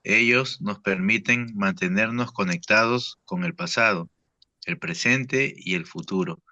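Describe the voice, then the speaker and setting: A low-voiced man with a very bright, forceful tone reads a slide aloud carefully. His audio comes through dull and lo-fi, with a dry, close sound. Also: measured pace, no room sound. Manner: careful reading with a small restart. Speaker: workshop participant.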